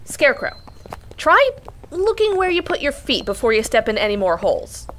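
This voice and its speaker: Young heroine-narrator: sardonic, feisty, animated audio drama delivery, slightly high. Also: female voice, moderate pace, a little hiss or hum. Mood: exasperated. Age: young adult. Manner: snappy, sardonic. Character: feisty, sarcastic young woman, reluctant adventurer. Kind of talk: audio drama acting and first-person narration.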